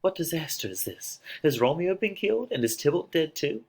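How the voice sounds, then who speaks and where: A man's voice: on the high side, very animated, fairly quick, gravelly, voice pushed up, exaggerated voice. A man playing a lovesick young girl in a dramatic reading of a tragedy, declaiming with open emotion. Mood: heartbroken. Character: lovesick young girl, impatient, then anguished and grieving.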